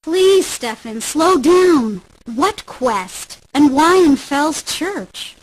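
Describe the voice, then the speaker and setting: A woman's voice: sits high, animated, very slow, heavily acted, low-quality recording. An actress playing an earnest, anxious but brave young woman in a video game drama, pleading and questioning.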